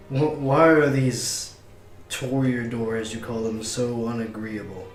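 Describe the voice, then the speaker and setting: A man playing a tabletop game with a slow, wry delivery. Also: medium pitch, fairly even intonation. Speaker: player. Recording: echoey room, far from the mic, faint music.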